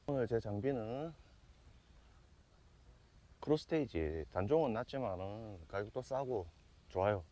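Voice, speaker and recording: A man's voice: lowish voice, expressive, measured pace, pauses often, dark tone. A man chatting casually and explaining fishing gear in an outdoor vlog. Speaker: host of a fishing channel. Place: outdoors on a seaside walkway. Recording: dull outdoor sound with faint background noise.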